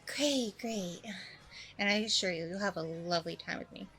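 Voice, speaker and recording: A woman's voice: medium pitch, moderate pace, sharp tone, soft background music. A woman playing a smug, self-promoting training center owner in a chatty ASMR roleplay, with breezy, self-satisfied customer-service politeness. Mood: breezy, polite. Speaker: roleplay audio performer.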